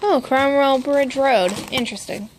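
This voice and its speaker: A woman's voice: high-pitched, moderate pace, slight background noise, low-quality recording. A woman casually narrating an unboxing as a vlogger, informal, with muttered asides to herself. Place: indoors at home.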